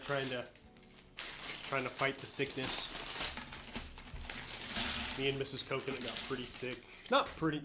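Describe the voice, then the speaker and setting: A man's voice: mid-range voice, noisy background. Sick-sounding man chatting on a live stream. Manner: relaxed, greets chatters by name. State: sick, nasal and throat-clearing. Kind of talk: casual live stream chat, reading viewer comments.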